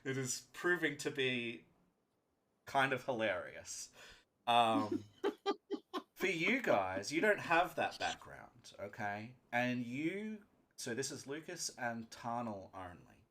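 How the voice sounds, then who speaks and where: A man's voice: mid-range voice, very animated, takes it slowly, fairly bright tone. A man narrating a live tabletop roleplaying game as game master, speaking emphatically and often checking in with his players.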